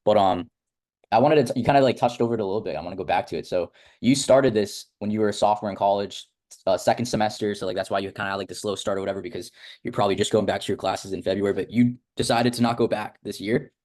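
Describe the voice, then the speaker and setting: A young man hosts a casual interview. His voice sits a little low and he talks very quickly, rambling informally and leaning on filler words as he goes. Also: fairly even intonation, a little mellow. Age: young adult. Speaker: host interviewing a student of a business academy.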